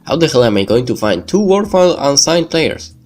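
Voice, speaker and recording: A man's voice: mid-range voice, very animated, fairly quick, darkish tone. Man reading game text over music. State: sick. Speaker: let's play video host. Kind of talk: reading visual novel script aloud. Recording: music behind the voice. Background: background game music.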